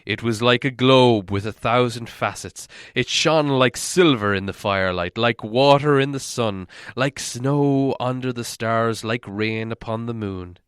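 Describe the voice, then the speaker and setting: A man reading an audiobook as a dramatic storyteller, expressive and theatrical. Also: mid-range voice, measured pace, few pauses, clean tone, dry recording, studio quality. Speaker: audiobook reader.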